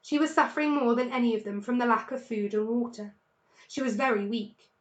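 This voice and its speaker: A woman narrates a children's story expressively, like a teacher reading to pupils. Her voice is fairly high, and the room adds a clear echo. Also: brisk, muffled recording.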